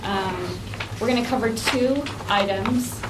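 Female professional presenter, medium pitch, formal technical delivery, distant mic in an echoey room. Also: slow pace, background noise. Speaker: actuary, guest presenter. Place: indoor meeting room.

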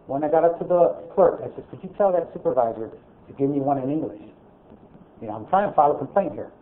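Loud, blunt man with tinny sound. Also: on the high side, animated, quick pace. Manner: loud, blunt, aggrieved storytelling. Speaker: public commenter. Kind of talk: public comment at a board meeting. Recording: tinny phone-like meeting audio.

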